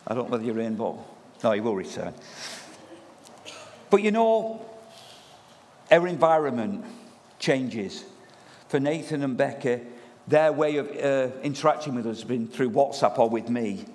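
A man preaching in a church, loud and lively, chatting with the congregation as their pastor. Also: mid-range voice, unhurried, long pauses. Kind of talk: sermon. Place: church hall. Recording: long reverb of a church hall.

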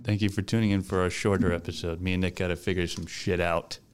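A young man co-hosting a casual podcast, joking around and reciting a movie monologue slowly. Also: low-pitched, little pitch movement, smooth. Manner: laid-back, joking, slow dramatic recitation. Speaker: podcast co-host. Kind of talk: casual chat.

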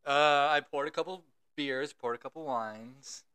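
A man's voice: a little high, measured pace, pushed and bright, clear, resonant tone, no echo. A young man co-hosting a casual podcast on a close microphone, laid-back and swearing casually as he tells stories. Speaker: podcast co-host. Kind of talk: casual chat. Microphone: podcast microphone close to the face.

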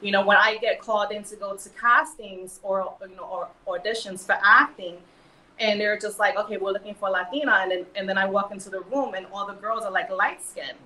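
A woman's voice: mid-range voice, steady tone, brisk, bright, forceful tone, recorded from a distance, a little hiss or hum. Earnest New York woman, echoey room. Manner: earnest, filler-heavy, emphatic. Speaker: model and actress guest. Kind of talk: casual panel discussion.

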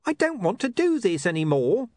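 A man's voice: extremely high pitch, very animated, brisk, dry recording, studio quality, pitched above the performer's own voice. A man voicing a cowardly, reluctant fellow who is nervous, stammering and whining. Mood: frightened. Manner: stammering, wailing.

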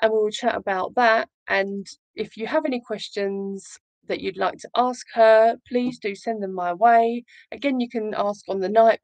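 A woman hosts an informal live show. Her voice sits at an average pitch, in a dry sound with little room in it. She rambles on in a chatty, self-deprecating and gushing way. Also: vocal fry, dry recording, rough recording. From Essex, England. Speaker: live show host, children's author. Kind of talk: casual chatty livestream.

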